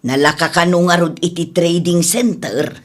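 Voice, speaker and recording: A woman's voice: lowish voice, normal speed, natural voice. A woman playing a blunt, scolding villager in an Ilocano radio drama, with an Ilocano Filipino accent. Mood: blunt, chiding.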